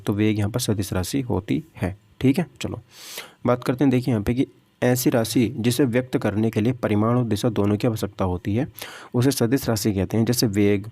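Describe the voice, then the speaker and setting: A man's voice: fairly low pitch, darkish tone, no echo. A man teaching a lesson through practice questions, repeating himself and answering his own questions. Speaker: physics teacher for exam coaching.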